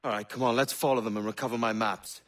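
An actor playing a cocky, hot-tempered Welsh sailor in a video game, brash and sardonic. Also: male voice, mid-range voice, fairly even intonation, fast, fairly bright tone, lightly acted. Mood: exasperated. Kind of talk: video game cutscene acting.

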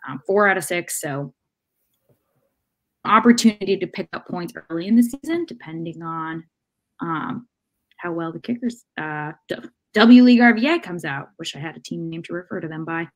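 Low-voiced woman talking sports casually. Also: animated, moderate pace, low-quality recording. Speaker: soccer podcast panelist. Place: indoor room at home, video call. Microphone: video call microphone.

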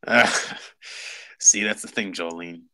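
Police lieutenant, male, forties played by a man: low, hard-edged, suave, quietly threatening, deliberate. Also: full character voice. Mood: veiled threat. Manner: smug, insinuating, deliberate. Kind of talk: script table read.

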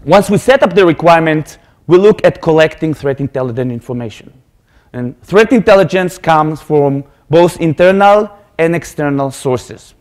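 A man's voice: on the high side, animated, normal speed. Israeli man giving a conference talk. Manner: earnest, explanatory, repeats words when restarting. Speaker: conference speaker. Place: lecture hall stage. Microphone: podium microphone.

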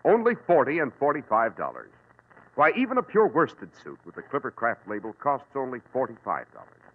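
A man's voice: mid-range voice, huge pitch swings, fairly quick. A man announcing in an emphatic, salesmanlike 1940s radio advertisement style. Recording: tinny, rough old radio recording.

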